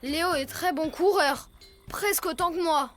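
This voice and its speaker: A woman's voice: extremely high pitch, normal speed, soft background music. A woman voicing an eager, naive little animal cub in a children's cartoon. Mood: proud, surprised. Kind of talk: animated series dubbing.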